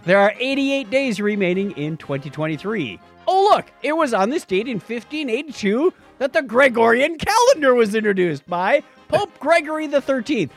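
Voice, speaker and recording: Playful, animated male podcast co-host. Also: very high-pitched, moderate pace, few pauses, faint music behind. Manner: joking, playful. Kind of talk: casual comedic banter.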